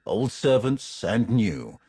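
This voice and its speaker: Very deep, husky, grim Sith warrior. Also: male voice, big pitch swings, mellow, no room sound, clean studio sound, heavily acted. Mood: scornful. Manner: slow, menacing, formal. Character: grim, ancient male Sith warrior, contemptuous and ruthless. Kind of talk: dramatic video game voice acting.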